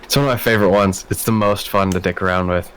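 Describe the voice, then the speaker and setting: Low-voiced upbeat podcast co-host man. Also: steady tone, quick pace, fairly bright tone, clear, resonant tone. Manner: upbeat, rattles off lists of dates. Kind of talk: casual podcast chat.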